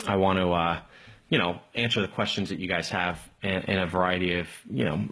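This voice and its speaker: A man hosting a casual live call-in tech stream, rambling along in an informal, enthusiastic way with a slightly husky voice. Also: low voice, steady tone, fairly quick, fairly bright tone. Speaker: live tech show host.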